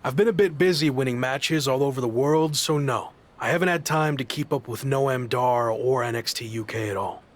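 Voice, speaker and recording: A man's voice: medium pitch, normal speed, hardly any pauses, close to an everyday voice. A man voicing a confident, earnest pro wrestler with a wry streak in a video game cutscene.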